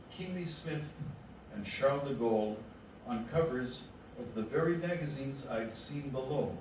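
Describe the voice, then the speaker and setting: A man gives a poetry reading in a deliberate, unhurried way, pausing between phrases. He is heard from far off in a strongly echoing room, with clear noise behind him. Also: mid-range voice. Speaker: poet reading own work. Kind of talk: poetry reading to a live gathering. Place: indoor literary meeting room. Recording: echoey room from far off, noisy.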